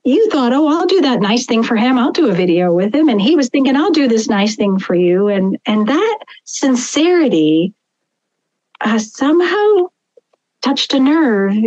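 A woman hosting a podcast, asking thoughtful, measured questions. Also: a little high, sing-song, darkish tone, lo-fi sound. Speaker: podcast host. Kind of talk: interview conversation.

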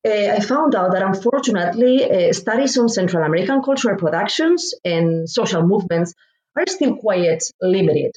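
A woman from Spain, a professor giving measured, thoughtful interview answers and pausing to find her words. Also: medium pitch, expressive, a little mellow. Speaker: guest author, professor. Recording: roomy echo.

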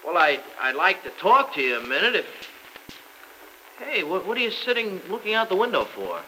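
An actor playing an earnest, plain-spoken young farmer with folksy, insistent delivery in an old-time radio soap. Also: male voice, high-pitched, sing-song, normal speed, pushed and bright, full character voice. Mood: tentative. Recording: noisy vintage radio recording.

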